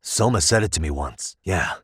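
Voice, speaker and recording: A man in his thirties voicing a calm, analytical private detective in a video game, speaking in a measured, conversational way. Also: low voice, slightly rough, dry recording, clean studio sound, exaggerated voice. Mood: pensive, focused. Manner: measured, methodical, reasoning aloud. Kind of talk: English video game voice acting, conversational dialogue.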